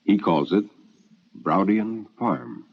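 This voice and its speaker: Lowish-voiced male radio storyteller, muffled. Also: animated, slow pace, vocal fry, breathy edge. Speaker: story reader. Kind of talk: old-time radio storytelling. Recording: muffled radio recording, faint music.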